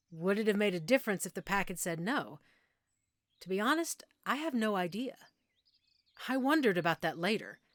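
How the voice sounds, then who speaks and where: A woman acts out a middle-aged female werewolf alpha, patient and sure of herself. Her mid-pitched voice explains things in an intimate, conversational audio roleplay style, leaving measured pauses. The sound is dry, with very little room in it. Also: dry recording, close to an everyday voice. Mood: candid, proud.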